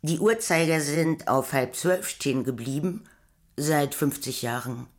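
A woman narrating a poetic radio play from a script in a measured, deliberate way. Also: sits low.

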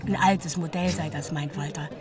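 A woman's voice: low voice, steady tone, moderate pace, natural voice. An actress playing an earnest, proud middle-aged mother reading out a formally worded letter to her son in a radio drama. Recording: slightly distant, loud guitar music behind.

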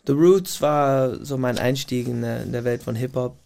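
Gravelly-voiced American man as a studio guest, talking in a non-native language with pauses and restarts. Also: medium pitch, fairly even intonation, darkish tone. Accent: American English. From Puget Sound region, USA. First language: not German. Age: adult, past university. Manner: pauses to think, restarts phrases, laughs. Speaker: radio guest. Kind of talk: casual interview talk. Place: radio studio. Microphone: studio microphone.

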